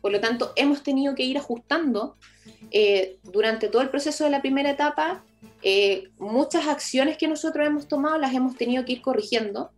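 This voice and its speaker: A woman with a Chilean accent giving halting, explanatory answers as an official in an informal radio interview, often rephrasing as she goes. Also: sits high, faint music behind. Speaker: municipal project official being interviewed.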